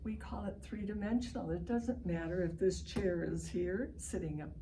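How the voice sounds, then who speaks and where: A woman's voice: fairly low pitch, normal speed. An older woman with an American accent leads an informal online literacy lesson for families, explaining things step by step and stressing key words. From Chicago, United States. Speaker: literacy educator leading online family reading sessions. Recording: distant mic, clearly noisy home recording.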